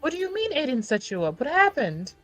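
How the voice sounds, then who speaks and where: A woman's voice: sits high, very animated, brisk, fairly bright tone, dry recording, faint music behind, voice pushed up. A woman voicing a doting, generous, concerned friend in a tabletop game, affectionate and fussing. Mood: concerned, baffled.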